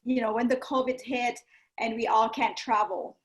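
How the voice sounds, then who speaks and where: A woman's voice: a little high, little pitch movement, normal speed. A woman from Thailand with a Thai accent, a native Thai speaker, talking personally and motivationally as an interview guest, often checking in with "right?". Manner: often adds "right?" and "you know". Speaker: guest, yoga teacher and business coach.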